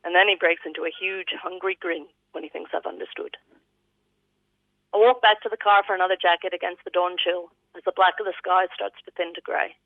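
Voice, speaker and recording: A woman's voice: fairly low pitch, fairly even intonation, a little fast, no room sound. A woman with an Australian accent reading a personal eulogy as vivid literary storytelling. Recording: thin phone-line sound.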